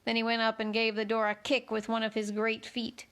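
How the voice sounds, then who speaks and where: A woman's voice: fairly high pitch, monotone, brisk, a bit bright, clean tone. A woman narrating a children's storybook expressively as host of a read-along.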